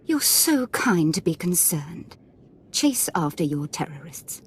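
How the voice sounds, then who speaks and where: Husky, sweetly cruel Sith lady. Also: fairly low pitch, sing-song, takes it slowly, full character voice. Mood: imperious, menacing. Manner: sweetly mocking, imperious. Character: menacing, playfully sadistic female Sith lord. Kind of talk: scripted game dialogue.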